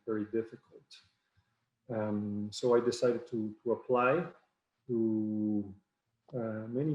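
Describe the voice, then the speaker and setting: A middle-aged man with a Mexican accent, a native Spanish speaker, introducing himself informally and haltingly, with frequent pauses. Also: medium pitch, warm tone. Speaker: professor on a panel. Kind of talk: informal self-introduction on a video call. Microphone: video call. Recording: roomy, muffled video-call audio.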